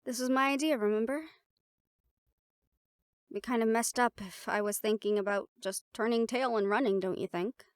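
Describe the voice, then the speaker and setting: A playful half-siren, half-banshee woman acted by a woman chats one-on-one in an audio roleplay. Her voice sits on the high side and sounds very dry, with no room around it. She talks casually and haltingly, with a wistful fondness for home. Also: no echo, close to an everyday voice. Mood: fond, musing. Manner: casual, chatty, halting, with small laughs. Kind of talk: intimate audio roleplay, one-sided conversation with listener.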